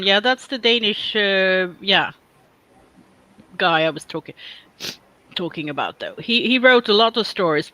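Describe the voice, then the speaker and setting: A bright, forceful voice with a clear Swedish accent belongs to a person who chats loosely and wanders off mid-thought. The talk is playful and teasing, full of restarts and self-corrections, in a relaxed online hangout. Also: medium pitch, no room sound, rough recording. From Sweden. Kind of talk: casual chat.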